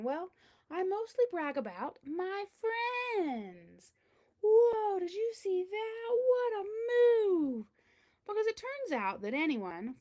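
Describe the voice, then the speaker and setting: A woman's voice: sits high, a little mellow, pitched above the performer's own voice. A woman voicing a boastful big cheese learning humility, telling its own picture-book story in a theatrical, emphatic way. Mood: upbeat, proud. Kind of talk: first-person picture-book read-aloud.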